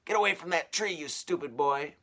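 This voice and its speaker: A man voicing a mean, bossy storybook aunt who shouts and snaps excitably. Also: on the high side, brisk, pitched above the performer's own voice. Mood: alarmed, bossy.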